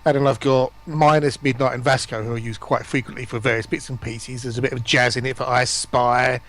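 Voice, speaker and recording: Mid-pitched man in casual chat. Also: fairly even intonation, fairly quick, fairly bright tone. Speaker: guest on a stream.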